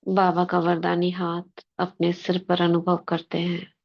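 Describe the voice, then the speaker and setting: A woman leading a guided spiritual meditation, speaking in short, measured devotional phrases with pauses between them. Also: fairly low pitch, monotone, vocal fry, highs cut off. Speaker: meditation leader.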